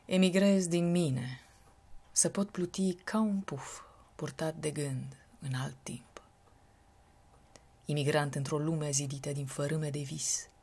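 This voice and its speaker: A woman with a breathy, husky voice giving an expressive recitation of poetry. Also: low voice. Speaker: poetry reader.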